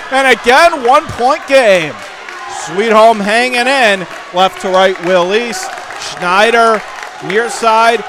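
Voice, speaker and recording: A man calling live basketball play-by-play for radio in clipped, urgent phrases that rise into excited calls. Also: sits high. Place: indoor high school basketball gym. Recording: game crowd and gym noise behind.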